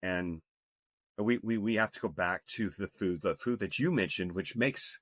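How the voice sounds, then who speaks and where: A man's voice: sits low, moderate pace, fairly bright tone, no room sound, clean studio sound. A man in his fifties, a health coach speaking earnestly and emphatically as a podcast guest, with frequent restarts. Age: 50s. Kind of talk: podcast interview.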